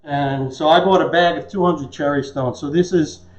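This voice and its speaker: A man's voice: on the high side, fairly even intonation, normal speed. A man in his fifties hosting a live cooking demonstration in a casual, conversational, joking way. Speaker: chef and cooking show host. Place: home kitchen, indoors. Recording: echoey kitchen, dull muffled sound.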